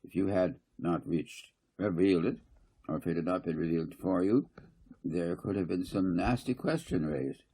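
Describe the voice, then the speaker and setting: Man reading as stern chairman, low and husky. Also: moderate pace, warm tone, heavily acted. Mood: admonishing. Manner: formal, admonishing. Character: stern, measured male council chairman.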